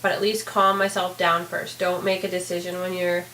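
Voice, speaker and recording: A woman with a hoarse, raspy voice giving a candid, rambling video diary update, full of fillers. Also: fairly low pitch, level tone, moderate pace, sharp tone, reverberant, a little hiss or hum, rough recording. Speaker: vlogger.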